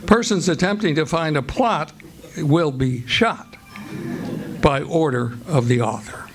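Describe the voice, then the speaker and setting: A man announces and narrates in the style of old-time radio theatre. His voice sits a little high and his delivery is measured and presentational. Prominent music plays behind him on a live stage. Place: live stage before an audience.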